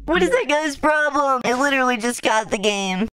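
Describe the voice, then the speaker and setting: A woman doing a cartoon fan voice-over as a gamer boy, talking in a deadpan, dry way. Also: high-pitched, moderate pace, faint music behind. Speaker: voice-over creator.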